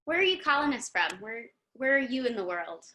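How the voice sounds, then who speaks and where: Bright, excitable woman hosting. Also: a little high, brisk, a little hiss or hum. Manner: effusive, excited, chatty. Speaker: host. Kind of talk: enthusiastic live online interview hosting. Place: online video meeting.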